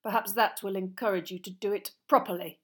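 A woman voicing a cold, bullying male potions teacher in an audiobook, with a slow, scornful, drawn-out delivery. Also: medium pitch, steady tone, close to an everyday voice. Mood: cold contempt.

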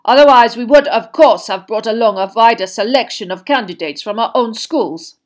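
A woman's voice: a little high, moderate pace, voice pushed up, lightly acted. A woman voicing a cold, scheming male headmaster with a disdainful, indignant manner in a storybook. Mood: icy.

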